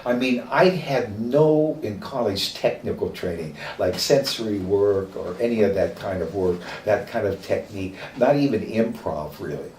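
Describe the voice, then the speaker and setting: Older Midwestern man, very low voice, halting and reflective; roomy, distant mic with faint hiss. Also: darkish tone. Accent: Midwestern American. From American Midwest. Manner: reflective, halting with many fillers. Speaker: acting teacher interviewee. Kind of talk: oral history interview.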